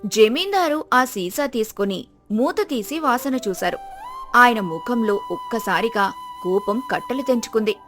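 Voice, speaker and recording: A woman's voice: fairly high pitch, expressive, unhurried, fairly bright tone, faint music behind. A woman narrating a scripted moral story as a storyteller.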